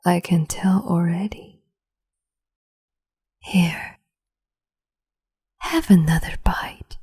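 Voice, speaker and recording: A woman playing a cruel, capricious empress in an ASMR roleplay, whispering in a slow, teasing, domineering way. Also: fairly low pitch, fairly even intonation, warm tone, full character voice. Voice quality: breathy whisper.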